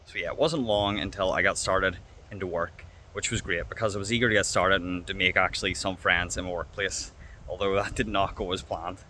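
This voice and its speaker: A young man from Northern Ireland, his Northern Irish accent clear as he rambles through a story with fillers. His voice sits low but sounds bright and forward. Faint outdoor traffic hums behind him. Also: steady tone, fairly quick. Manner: chatty, rambling, with fillers and wee. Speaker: vlogger. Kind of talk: casual storytelling vlog. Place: outdoors by a railway line.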